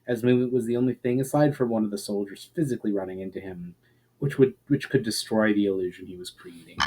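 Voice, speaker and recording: A man reading a fantasy story aloud as a narrator, in a measured, expressive storyteller's manner. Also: mid-range voice, poor audio. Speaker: author reading fiction aloud.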